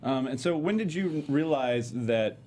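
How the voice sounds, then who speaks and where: A man's voice: mid-range voice, lively intonation, unhurried, dark tone, a little hiss or hum. A man hosting a casual interview, asking easygoing questions. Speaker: podcast host.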